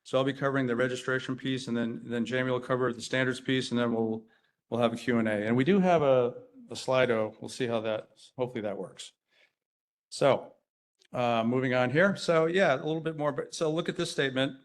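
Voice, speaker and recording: A man from Rhode Island speaks to a conference hall audience at an everyday middle pitch. He keeps it chatty and self-deprecating, tossing questions out to the room. His talk is full of "um"s and restarts. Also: steady tone, a little fast. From Rhode Island, USA. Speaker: engineer presenting at a conference. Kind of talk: conference presentation with audience banter.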